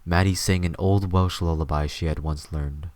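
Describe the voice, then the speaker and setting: A man's voice: low voice, steady tone, normal speed, darkish tone, no echo. A man reading scripted story narration as the narrator of an audio drama.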